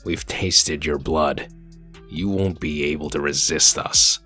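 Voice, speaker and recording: A man playing a gruff, cold, commanding wolf sheriff in human form, curt and threatening, in a comic dub. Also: rumbling low, fairly even intonation, slow, bright, forceful tone, faint music behind, exaggerated voice. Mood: cold, menacing. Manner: curt, threatening, coolly controlled.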